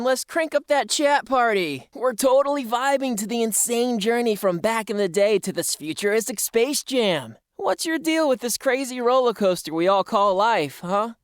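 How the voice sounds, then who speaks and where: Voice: sits high, clean tone, no room sound, studio quality. An actor playing a friendly, slangy cartoon dude with a breezy, exuberant delivery. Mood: hyped. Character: slangy, hyped-up American dude stereotype, friendly and patriotic. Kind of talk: scripted character dialogue.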